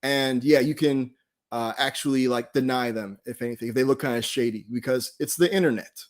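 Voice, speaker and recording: A man's voice: mid-range voice, fairly quick. A man hosting an online study group, casually explaining website features in an informal, laid-back, conversational way.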